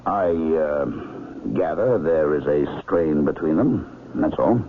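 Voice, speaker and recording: An actor playing a wry, cautious doctor in a radio drama, speaking in a measured, noncommittal, dry way. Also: male voice, a bass voice. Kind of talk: 1970s radio drama acting. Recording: muffled lo-fi old radio broadcast, hiss.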